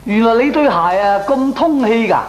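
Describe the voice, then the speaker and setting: A man's voice: very high voice, animated, moderate pace, over a noisy crowd. An actor playing a chatty, good-natured man who loves food, in comic Cantonese radio drama with a Hong Kong accent. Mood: chatty, agreeable. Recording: echoey old radio drama recording.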